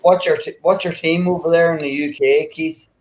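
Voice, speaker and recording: A man's voice: fairly high pitch, steady tone, moderate pace. A middle-aged man with a Northern Irish accent chatting casually on a stream, often saying "you know" and restarting words. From Northern Ireland. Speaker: stream guest. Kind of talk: casual live-stream chat.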